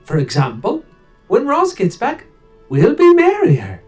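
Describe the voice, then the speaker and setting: A woman's voice: sits high, wildly swooping pitch, a little slow, darkish tone, soft background music, exaggerated voice. A woman playing a breezy, optimistic wisecracking adventurer in a fantasy game. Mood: breezy optimism.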